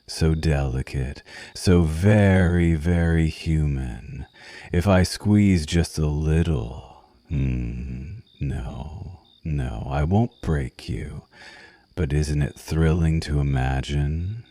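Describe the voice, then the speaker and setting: Man acting a seductive vampire lover, dark mellow voice, close dry sound, languid teasing murmur, ASMR roleplay. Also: extremely low pitch, slow, dry recording, exaggerated voice. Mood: teasing, intimate. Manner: languid, teasing, purring endearments.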